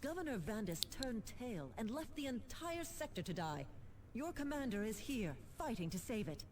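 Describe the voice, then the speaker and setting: A woman playing a composed, determined government administrator in a sci-fi video game, formal and crisp with dry irony. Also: fairly high pitch, sing-song, moderate pace, noisy background. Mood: indignant. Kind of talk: scripted video game acting.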